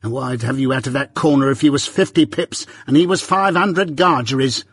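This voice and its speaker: A man voicing a shrill, scolding blacksmith's wife who talks in a sharp, loud, hectoring way. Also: expressive, a little slow, slightly rough, no echo.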